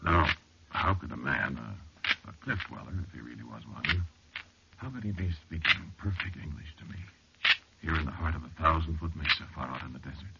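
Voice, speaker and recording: An actor playing an anxious, irritable male explorer in a 1940s radio horror drama, telling his story haltingly with deliberate, dramatic pauses. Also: a bass voice, expressive, creaky, heavily acted. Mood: brooding narration. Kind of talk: first-person 1940s radio horror narration and acting. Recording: noisy, muffled, clicky vintage radio recording.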